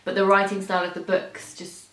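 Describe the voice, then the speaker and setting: A young woman in a casual book chat, explaining things in a chatty, animated way. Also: medium pitch, a little fast. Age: young adult. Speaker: book discussion co-host. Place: small indoor room with bookshelves. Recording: echoey room sound.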